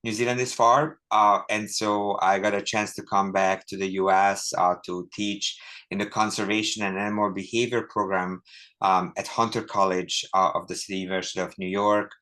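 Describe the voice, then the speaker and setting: A middle-aged man from Hungary, an author and professor giving a relaxed, chatty talk with dry humor and many ums over a video call. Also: on the low side, moderate pace. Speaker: author and professor, guest speaker. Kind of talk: informal talk to an online audience.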